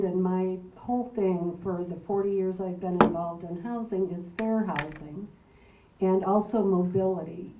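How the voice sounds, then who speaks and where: A woman in her sixties from Chicago, a housing consultant, giving a chatty, self-deprecating panel presentation in a loud voice. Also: medium pitch. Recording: roomy hall, distant mic.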